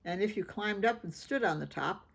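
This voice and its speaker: A woman's voice: mid-range voice, expressive, moderate pace, gravelly, breathy edge. A woman reading a children's story aloud expressively, adding informal asides about the pictures. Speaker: children's picture book reader.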